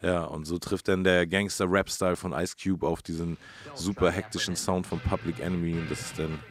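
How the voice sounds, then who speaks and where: A man's voice: low-pitched, little pitch movement, brisk, no room sound. A man hosting and telling music history in a casual, colloquial conversational style. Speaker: host. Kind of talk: casual storytelling about hip-hop history.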